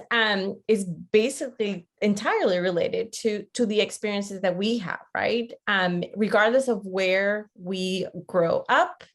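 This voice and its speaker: A woman presents an interactive online lecture in a conversational way, often checking in with her listeners. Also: medium pitch. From Costa Rica. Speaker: webinar presenter, teacher educator.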